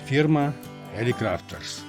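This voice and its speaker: A man presenting a casual hands-on demonstration of a vintage radio he restores, speaking haltingly and muttering to himself as he works. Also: mid-range voice, lively intonation, over music.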